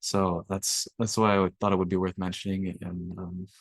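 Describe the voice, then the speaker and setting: A young man, slightly raspy from allergies, walking through a coding workshop in a relaxed way with lots of ums. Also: sits low, normal speed, dry recording. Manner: relaxed, filler-heavy explaining. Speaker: workshop instructor. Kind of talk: screen-share coding tutorial.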